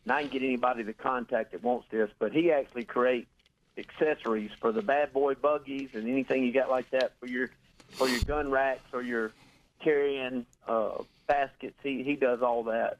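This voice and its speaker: A man in a studio tells a hunting story, rambling along with plenty of fillers. His voice is mid-pitched, and the audio comes through slightly muffled. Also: steady tone, normal speed, a little hiss or hum. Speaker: studio guest.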